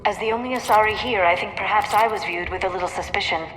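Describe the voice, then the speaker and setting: A woman voicing a soft-spoken, earnest and troubled female alien scientist in a cinematic video game. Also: mid-range voice, fast talker, a little hiss or hum, lightly acted. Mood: earnest, reflective. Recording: long hall reverb over game music.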